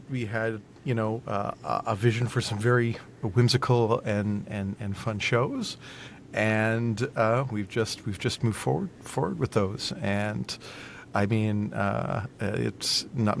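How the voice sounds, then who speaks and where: A man's voice: fairly low pitch, fairly even intonation, unhurried, creaky, slight background noise. A man talking casually as a guest in a podcast interview. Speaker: guest, voice actor.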